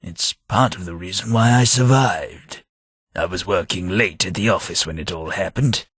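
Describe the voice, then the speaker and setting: A man voicing a genteel, radiation-scarred London gentleman in a video game, formal and dryly witty with old-fashioned diction. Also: deep voice, moderate pace, husky, no room sound, studio quality. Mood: wry, reminiscing. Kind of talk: video game character dialogue.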